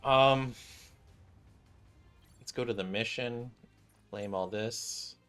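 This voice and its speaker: A man's voice: mid-range voice, slow pace, fairly bright tone, smooth. A man hosting a gaming video, commenting casually and reading dialogue aloud. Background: faint game music.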